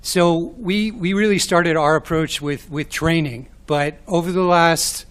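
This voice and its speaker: Man, New York accent, panel speaker, slightly raised pitch, measured delivery. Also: steady tone, a bit bright. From New York City. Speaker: panelist, media organization director. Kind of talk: panel talk.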